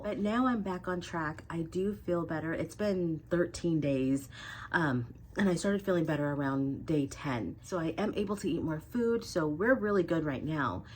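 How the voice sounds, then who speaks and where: A woman's voice: on the low side, slight background noise. Congested woman chatting to viewers. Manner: chatty, talks straight to her viewers. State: congested, coughing from a cold. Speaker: vlogger. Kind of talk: casual weight loss update.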